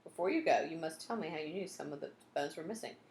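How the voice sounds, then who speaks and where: A woman reading a storybook and voicing a courteous, reassuring male museum director in a measured, polite manner. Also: low-pitched, lively intonation, creaky, a little mellow. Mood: calm, polite. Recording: roomy echo, some background noise.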